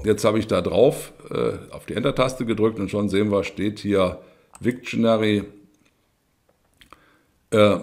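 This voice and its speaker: A man's voice: fairly low pitch, darkish tone. A man talking through a spreadsheet in a screen-recorded tutorial, explaining step by step with frequent fillers and tag questions. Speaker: instructor.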